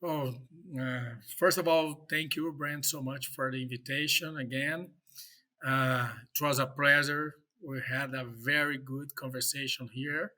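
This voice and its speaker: A man from Brazil with a Brazilian Portuguese accent, Portuguese native, speaking as a professor in a podcast interview, halting with many fillers and restarts. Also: medium pitch, steady tone, bright, forceful tone. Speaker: professor and guest.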